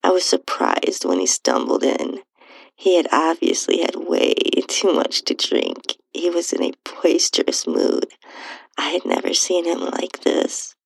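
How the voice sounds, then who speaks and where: A woman narrating an intimate first-person erotic story in a breathy, hushed, sultry voice. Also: fairly low pitch, huge pitch swings, unhurried, no echo, low-quality recording. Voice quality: breathy, whispery, husky. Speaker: podcast host and narrator reading own story.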